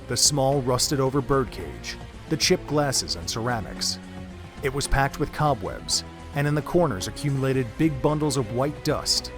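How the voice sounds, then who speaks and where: Casting: mid-pitched male narrator, measured and steady, with faint music behind. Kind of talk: scripted audio drama narration.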